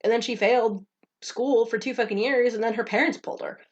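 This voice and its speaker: A woman's voice: a little high, fairly even intonation, brisk, highs cut off. A young woman co-hosting a podcast in casual chat, blunt and swearing freely as she talks. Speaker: podcast co-host. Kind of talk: casual chat, reading stories aloud.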